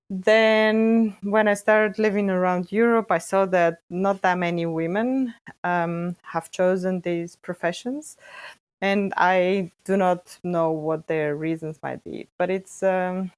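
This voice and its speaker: Bulgarian-born woman, low dry voice. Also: dry recording. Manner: talks in long, animated run-on sentences with frequent restarts. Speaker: guest, freelance data scientist and startup co-founder. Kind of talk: casual interview answers.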